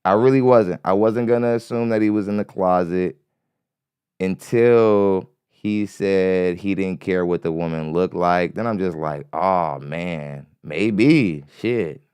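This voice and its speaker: Male podcast host, light-voiced, rambling and profane, smooth tone, close dry mic. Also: low voice, takes it slowly, a little mellow, no room sound. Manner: rambling, repeats himself, curses freely. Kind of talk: casual commentary on a clip. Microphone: studio mic.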